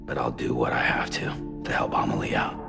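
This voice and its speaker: An actor playing a guarded, cynical porter in a video game, muttering in short, weary, reluctant phrases. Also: male voice, a bass voice, steady tone, fairly quick, gravelly, music in the background, exaggerated voice. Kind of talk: understated video game cutscene acting.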